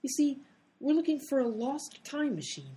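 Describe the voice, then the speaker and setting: A woman's voice: high-pitched, very animated, moderate pace, dark tone. A woman playing an exasperated, sarcastic time traveler in a comedy radio play. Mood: explaining.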